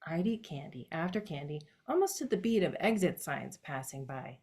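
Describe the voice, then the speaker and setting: A woman's voice: low-pitched, sing-song, moderate pace, a little mellow, breathy edge. Woman in a reflective stage monologue. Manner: reflective, addressing her late grandfather directly. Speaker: solo stage performer. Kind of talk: autobiographical monologue performance.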